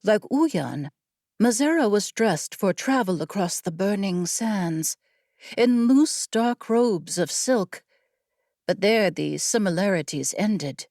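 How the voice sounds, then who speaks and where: A woman narrating an audiobook story in a measured, expressive storytelling manner. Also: medium pitch, no room sound, clean studio sound. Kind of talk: scripted fiction narration.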